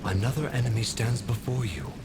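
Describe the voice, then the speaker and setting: A man's voice: mid-range voice, fairly quick, very noisy, natural voice. A man voicing the wise spirit of a talking sword in a video game, solemn and formal. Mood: grave warning. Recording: music and rain behind the voice.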